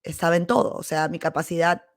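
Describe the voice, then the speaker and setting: Female podcast host, Peruvian accent, medium pitch with noticeable vocal fry. Candid, casual personal storytelling, recorded close and dry. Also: level tone, quick pace, dry recording. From Peru. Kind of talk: casual autobiographical storytelling monologue.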